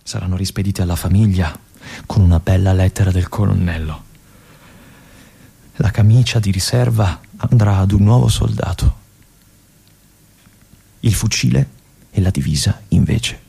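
An actor playing a brooding male army lieutenant in a theatrical monologue, with an intense, hushed delivery and phrases that drop to a whisper. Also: low-pitched, creaky, breathy edge, full character voice. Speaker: stage actor.

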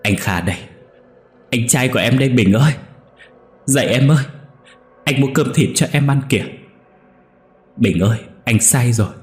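A man's voice: mid-range voice, normal speed, long pauses, echoey room, soft background music, voice pushed up, natural voice. A man voicing a grief-stricken young man crying out over his dead brother with sobbing, pleading cries. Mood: anguished wailing.

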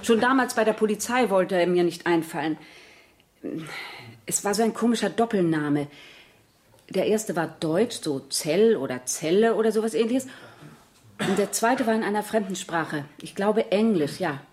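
An actress playing a woman witness in a courtroom radio play, halting and searching for words as she tries to recall. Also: mid-range voice, animated, a little mellow, slight background noise, natural voice. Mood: hesitant, searching.

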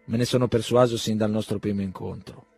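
Casual: narrator guy doing a polite earnest young gentleman, pretty much his normal voice. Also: on the low side, fairly even intonation, a little fast, soft background music, lightly acted.

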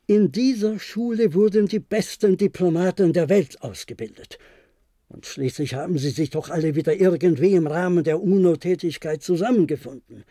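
Radio play role: weary elderly former diplomat, reflective and insistent, fairly high-set soft-toned voice. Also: male voice, no echo, clean studio sound. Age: older. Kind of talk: German radio play acting.

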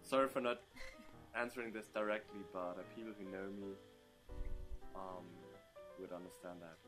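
A young man from Germany answering interview questions casually, with many pauses and ums and playful outbursts. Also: lowish voice, faint music behind. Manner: hesitant, many ums, bursts into playful loud laughter. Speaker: interview guest.

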